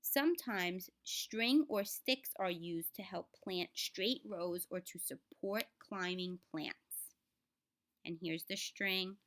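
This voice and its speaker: A woman's voice: medium pitch, a bit bright. A woman reading a children's book aloud in a teaching way, speaking straight to the children and adding simple explanations. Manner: instructive, addresses children directly, sounds out words.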